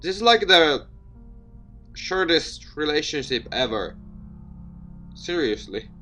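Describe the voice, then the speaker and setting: A man's voice: fairly high pitch, big pitch swings, slow, sharp tone, faint music behind. A man doing a let's play, reading a visual novel aloud in a theatrical, jokey way and interjecting his own comments. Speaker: video game let's player.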